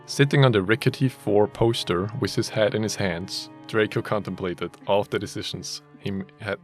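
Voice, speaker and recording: Low-voiced man reading fanfiction over music. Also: steady tone, moderate pace. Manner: swears casually, breaks off into banter. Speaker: narrator of a group fanfiction reading. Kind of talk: scripted story reading mixed with joking chat.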